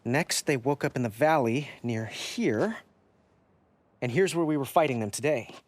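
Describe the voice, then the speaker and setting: An actor playing an earnest, excitable teenage boy adventurer in a game, with an eager, expressive way of talking. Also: medium pitch, normal speed, close to an everyday voice. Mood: thoughtful.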